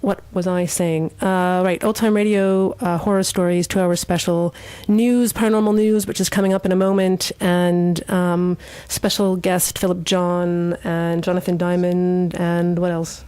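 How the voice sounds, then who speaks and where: A woman's voice: on the low side, little pitch movement, a little slow, hardly any pauses, dark tone, smooth. A woman hosting a live radio show in a casual, slightly hesitant way from a studio.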